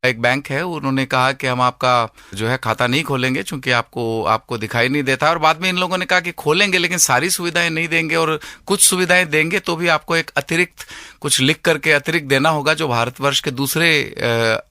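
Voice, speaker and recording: An Indian man recounts his experience in halting, formal phrases. His voice is gravelly and forceful at a medium pitch, recorded dry. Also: no room sound. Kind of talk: interview recollection.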